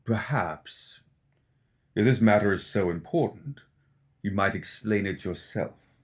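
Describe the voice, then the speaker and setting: A man's voice: on the low side, animated, takes it slowly. A man voicing a stern, bald, vulture-like necromancer in an audiobook, formal and disdainful in manner. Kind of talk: audiobook character acting.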